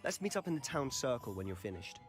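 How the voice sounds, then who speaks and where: A man's voice: medium pitch, very animated, fast talker, over music, lightly acted. A young man playing a confident, friendly student wizard guide in a fantasy video game, breezy and playfully formal.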